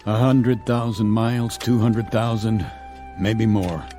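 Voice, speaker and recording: A man playing a stern, level-headed colonel commanding a stranded space crew in a radio drama, with a measured, authoritative manner. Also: fairly low pitch, fairly even intonation, warm tone, faint music behind. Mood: resolute, matter-of-fact.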